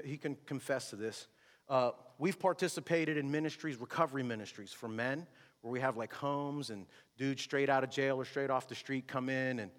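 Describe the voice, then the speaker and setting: A male pastor delivering an emphatic sermon, moving between loud shouted lines and low, quiet asides. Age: adult with a wife and kids. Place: church sanctuary. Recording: long reverb of a large hall.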